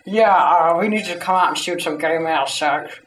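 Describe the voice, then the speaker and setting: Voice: mid-range voice, a little fast, fairly bright tone, slight background noise. A prank phone caller talking in a goofy, profane way. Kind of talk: crude prank phone calls. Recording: echoey lo-fi phone prank recording, music behind.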